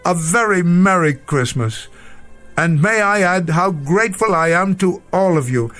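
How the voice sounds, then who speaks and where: An actor playing an elderly professor, formal, gentle and reflective, in a radio drama. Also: male voice, sits high, animated, measured pace. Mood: grateful, warm. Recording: faint music behind the voice.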